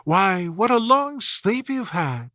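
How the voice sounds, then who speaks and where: A man voicing a gentle, affectionate older sister in a storybook, speaking caringly. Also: high-pitched, sing-song, slow pace, no room sound, studio quality, pitched above the performer's own voice, exaggerated voice. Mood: tender.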